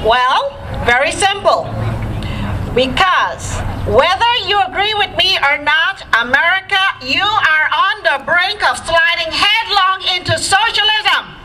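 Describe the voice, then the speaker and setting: Woman, from Guyana, very high voice, rousing rally speech, outdoor crowd, background noise. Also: lively intonation, takes it slowly, bright, forceful tone. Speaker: rally speaker. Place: outdoors on a riverfront. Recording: noisy outdoor crowd recording.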